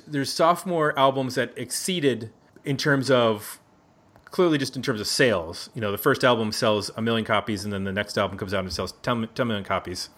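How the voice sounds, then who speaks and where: A man's voice: mid-range voice, fairly bright tone. A man from Buffalo in the United States hosts a casual round-table music podcast in a chatty, jokey way, often correcting himself. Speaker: podcast host. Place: indoors at a neighbor's house.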